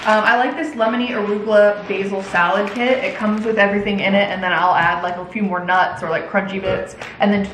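A woman's voice: mid-range voice, steady tone, brisk, hardly any pauses, a bit bright. An American woman in her thirties talking casually and chattily to camera, rambling along in girly slang as a lifestyle vlogger. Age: 30s. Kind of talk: casual chatty grocery haul vlog. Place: home kitchen, indoors. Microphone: camera mic a few feet away. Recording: echoey kitchen, clear background noise.

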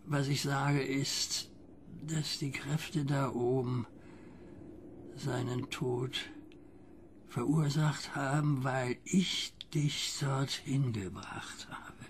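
An actor playing an old, fearful, guilt-ridden neighbour in a radio drama, halting and intense, often sinking toward a whisper. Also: male voice, medium pitch, steady tone. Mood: guilt-stricken, pleading. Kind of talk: German radio drama acting. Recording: noisy ambient background.

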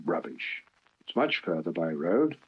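A good-humoured, sensible steam engine from a storybook, read by a man. He speaks in a matter-of-fact, husky way with lively pitch, on a muffled recording. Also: a little slow, exaggerated voice. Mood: dismissive. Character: cheerful, sensible, dry-witted male tank engine. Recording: dull lo-fi archive recording.

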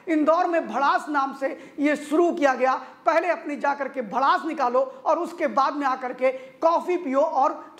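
A woman presenting a news feature in scripted narration, with a brisk, even newsreader delivery that addresses viewers directly. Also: high-pitched.

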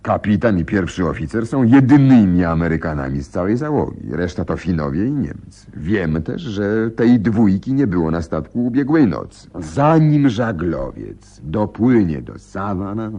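Shrewd vengeful detective, radio drama, dark mellow tone, lively dramatic delivery, deliberate. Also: male voice, deep voice, breathy edge, lo-fi sound, exaggerated voice. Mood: triumphant, explaining. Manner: deliberate, self-assured, dramatic. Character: shrewd, determined male detective, coolly vengeful. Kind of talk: Polish radio drama acting.

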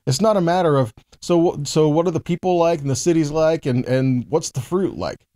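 A man's voice: on the high side, brisk, dark tone. A man in his forties giving a conversational, emphatic Bible teaching, reading verses and posing rhetorical questions. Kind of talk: teaching sermon to camera with scripture readings.

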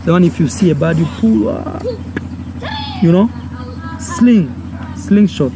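A man's voice: high voice, measured pace, creaky. A young man from Kenya with a Kenyan accent, a native Swahili speaker, telling a personal story to camera outdoors and acting out the voices. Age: young adult. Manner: animated, acts out voices and gestures. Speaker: vlogger. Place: outdoors by a brick wall. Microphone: phone or camera held close. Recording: outdoor noise, village sounds.

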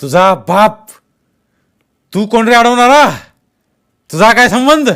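A man voicing an aggressive, coarse masked gang ringleader in an audiobook, shouting insolently and barking orders. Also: high-pitched, expressive, slow, clean tone, voice pushed up, full character voice. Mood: aggressive challenge.

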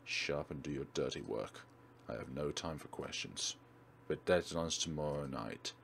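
A man's voice: very deep voice, normal speed, gravelly, slight background noise, voice pushed down. A man voicing a cold, curt, menacing man who gives orders in a visual novel game. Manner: curt, commanding. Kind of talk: reading visual novel dialogue.

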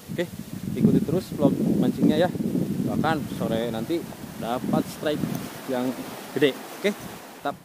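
A man's voice: medium pitch, very noisy. A young man chatting casually as a fishing vlogger in short colloquial exclamations, recorded outdoors on a seashore. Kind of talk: casual vlog chat. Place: open rocky seashore, outdoors. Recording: loud music over outdoor action-camera voice. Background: loud music.

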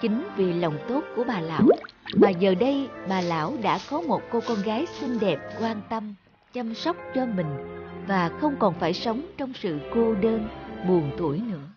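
A woman's voice: medium pitch, animated, moderate pace, lo-fi sound, music in the background. A woman reading scripted narration for a children's folk tale.

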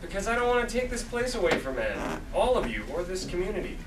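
A young man in a comedy table read playing an excitable, naive coffee shop worker in his early twenties. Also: high-pitched, quick pace. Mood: earnest. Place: small theatre stage, seated before an audience. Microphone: far camera. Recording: echoey, noisy, distant stage recording.

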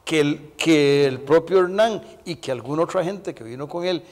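A man in his sixties hosting a televised interview, speaking in a deliberate way with long hesitations. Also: a little high. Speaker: interview show host. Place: brick-walled indoor room, television set. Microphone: lapel mic. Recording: reverberant room echo.

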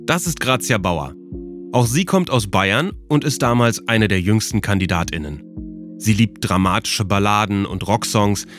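A man's voice: lowish voice, animated, moderate pace, over music. A man hosting and narrating a documentary podcast from a script.

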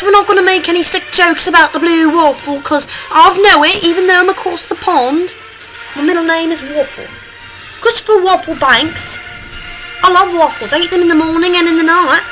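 A woman playing a boastful, goofy British male show host with an exaggerated British accent, presenting a comic vlog in a hammy, excitable, rambling way. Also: very high voice, moderate pace, faint music behind, low-quality recording. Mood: excitable, silly.

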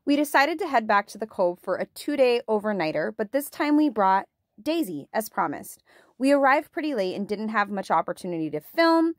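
A woman's voice: mid-range voice, dry recording, studio quality. A woman narrating her own camping adventure in an easy, chatty way, often talking straight to her viewers. Parts are recorded outdoors at a desert lakeside camp, picked up by a camera mic. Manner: friendly, chatty, addresses viewers as guys. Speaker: vlogger. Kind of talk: casual camping vlog narration.

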